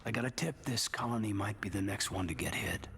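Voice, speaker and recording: A stern, betrayed soldier. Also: male voice, fairly quick, gravelly, a little hiss or hum. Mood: accusing. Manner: hurt, accusing, low and controlled. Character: stern male soldier, wounded loyalty. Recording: game audio with loud score behind.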